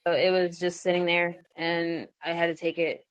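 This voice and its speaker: A woman with a somewhat low voice hosts a podcast in a casual, chatty way. She is informal and giggly as she talks about her writing. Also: flat delivery, moderate pace. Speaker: podcast host and story writer. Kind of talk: casual chat.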